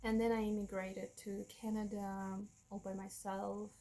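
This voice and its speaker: A woman in her thirties with a Russian accent, whose first language is Russian, telling a personal story to camera in a candid, halting way, with frequent restarts and soft nervous laughs. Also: medium pitch, level tone. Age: 30s. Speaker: vlogger.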